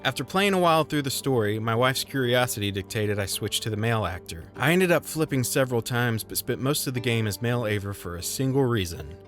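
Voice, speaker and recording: A man with a mid-pitched voice narrates a scripted video game review. His delivery is wry, with a touch of theatrical flourish. Music plays clearly behind him. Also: animated, a little fast, few pauses, a bit bright.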